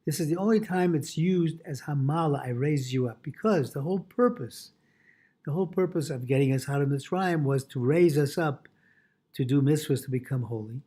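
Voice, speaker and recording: Husky male rabbi giving a Torah lesson. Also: fairly high pitch, dark tone. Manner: halting, repeats and restates phrases in short pieces. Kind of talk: Torah lecture, reading Hebrew phrases and explaining them.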